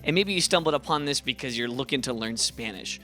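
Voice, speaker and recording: A man's voice: mid-range voice, expressive, fast, over music. A young man from Ohio with an Ohio accent, teaching a casual, encouraging video lesson in a chatty, upbeat way. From Ohio, USA. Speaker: language teacher, channel host.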